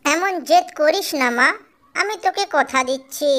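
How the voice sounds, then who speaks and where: A woman voicing a fretful, caring but shrewd mother songbird in an animated cartoon. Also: high voice, normal speed. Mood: coaxing. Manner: fretful, expressive.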